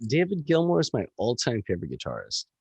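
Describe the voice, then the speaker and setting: A man with a gravelly voice, a musician chatting casually as a podcast guest, rambling with lots of fillers and swearing. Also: medium pitch, wildly swooping pitch, fast, a little mellow, no room sound.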